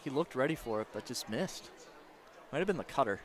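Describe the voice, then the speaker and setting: A man's voice: medium pitch, animated, fairly quick, some chatter behind. Male color analyst for baseball radio, mid-range voice, conversational and critical breakdown of each play. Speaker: color commentator.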